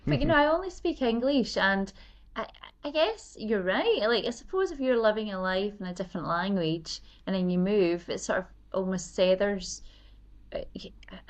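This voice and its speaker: A woman with a Glaswegian accent hosts the chat in a mid-range voice. She asks curious questions in a relaxed way, easing in with little fillers. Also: mid-range voice, normal speed. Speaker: podcast host. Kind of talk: casual interview chat.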